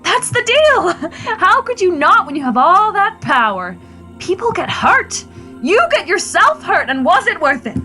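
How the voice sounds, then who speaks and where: A blunt, reproachful superhero's wife in a radio drama. Her voice is high and very animated, with a sharp bright edge. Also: normal speed, soft background music, heavily acted. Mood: angry, reproachful. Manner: pointed, reproachful. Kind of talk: radio play acting.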